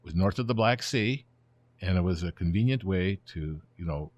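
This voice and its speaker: A man in his seventies whose first language is Ukrainian, speaking as an interview guest and explaining history in an unhurried, storytelling way. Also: low-pitched, lively intonation, lo-fi sound. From born in Germany, raised in the United States. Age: 70s.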